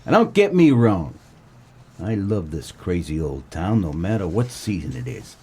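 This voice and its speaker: Man playing a husky wisecracking noir private eye. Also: low-pitched, unhurried, full character voice. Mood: wry. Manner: wry, deadpan, colloquial. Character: wisecracking, world-weary male 1940s private eye. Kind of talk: comedic noir radio play narration.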